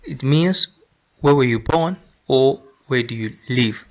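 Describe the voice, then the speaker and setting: A man teaching English grammar, repeating example sentences slowly with frequent ums. He is from Nigeria. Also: mid-range voice, expressive. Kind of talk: slow, deliberate grammar lesson. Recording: dull lo-fi sound.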